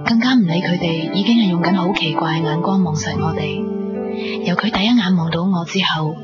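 A woman acting a tender, reflective young woman in love in a Cantonese radio drama, with a Hong Kong accent and a soft, intimate delivery. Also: on the low side, a little fast, lightly acted. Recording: dull lo-fi 1990s radio, loud guitar music.

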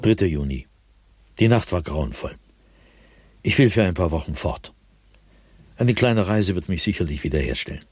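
A man playing an anguished, fearful, solitary diarist in a radio drama, speaking in a husky, brooding voice with sudden swells and hushed drops. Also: low-pitched, lively intonation, normal speed, pauses often, faint music behind. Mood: troubled. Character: anguished, fearful, solitary male diarist haunted by nightly dread. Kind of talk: German radio drama monologue, diary read dramatically.

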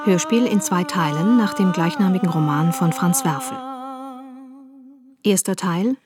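A woman announces radio drama titles and credits from a script. Her voice sits a little low, and music plays clearly behind her. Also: a little slow.

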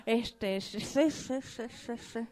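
Lady doing a high-pitched argentine cockroach mom, chatty n coy, repeats herself a lot, comic radio play. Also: takes it slowly, darkish tone. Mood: chatty, fond. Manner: repetitive, coy, hesitant, conversational asides. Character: chatty sentimental mother cockroach, vegan, improvised and comic. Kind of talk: improvised comic radio theatre monologue in interview form.

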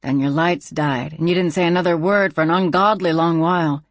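A tough frontier woman with a gun, acted out by an audiobook narrator. She speaks plainly and wryly, in folksy, ungrammatical turns. Her pitch is moderate and the sound is dry. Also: steady tone, a little fast, no room sound, lightly acted. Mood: wry, probing.

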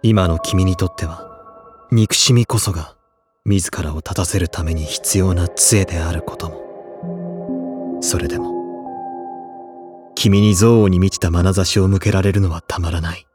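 An actor playing a serious, reserved high school boy, speaking in a stiff, earnest way in an anime audio drama. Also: steady tone, a little slow, long pauses, over music. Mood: earnest, anguished. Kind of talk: anime audio drama dialogue and letter reading.

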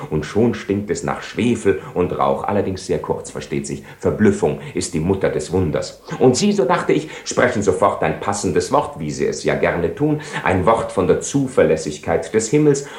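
World-weary ironic nobleman seducer, low voice, eloquent radio drama delivery, roomy 1970 studio. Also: a little mellow. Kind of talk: 1970s German-language radio play acting.

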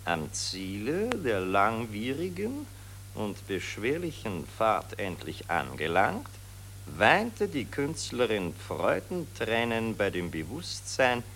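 A sharp-voiced pushy newspaper reporter in a radio play with a faint hum. He is insistent, florid and hungry for sensation, his pitch jumping widely. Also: wildly swooping pitch, slow pace, full character voice. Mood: florid, dramatic. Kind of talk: satirical radio play acting.

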